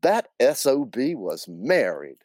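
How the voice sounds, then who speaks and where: A man's voice: mid-range voice, wildly swooping pitch, slow pace, darkish tone, no echo, studio quality, heavily acted. A man voicing a spirited, easily exasperated small-town Southern young woman in a told story. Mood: amused.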